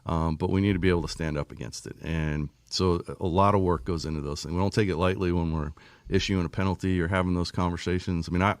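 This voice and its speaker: A man's voice: sits low, fairly even intonation, fast talker, no room sound. A man speaking as an official guest in a casual interview, explaining things plainly and often checking in with short tag questions. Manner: plain-spoken, frequent 'right?' tags. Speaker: motorsport series official, podcast guest.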